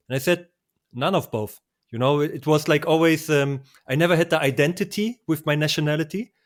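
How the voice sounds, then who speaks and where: A man who grew up speaking talks in English as a guest on a travel podcast. He speaks earnestly in simple, slightly off phrasing, and his voice sits a little higher than most men's. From born in Poland, grew up in Germany. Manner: earnest, simple phrasing with non-native slips. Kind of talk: casual interview chat.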